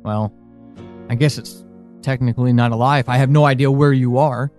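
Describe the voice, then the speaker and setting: A man playing a worried, frustrated friend in an audio drama monologue, speaking haltingly and intensely. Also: medium pitch, fairly even intonation, a little mellow, soft background music, lightly acted. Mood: worried, resigned.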